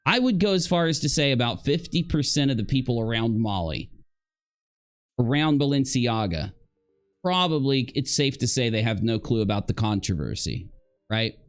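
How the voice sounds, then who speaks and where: A man giving casual live reaction commentary, halting as he thinks aloud and posing rhetorical questions to his viewers. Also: medium pitch, sing-song. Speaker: livestream commentator.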